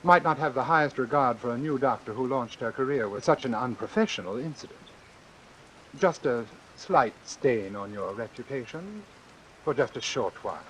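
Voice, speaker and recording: An actor playing a calculating, formal doctor who deliberately and persuasively arranges his own death in an old-time radio suspense drama. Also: medium pitch, lively intonation, a bit bright, lightly acted, low-quality recording. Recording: hissy vintage radio recording with rain. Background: hiss and falling rain.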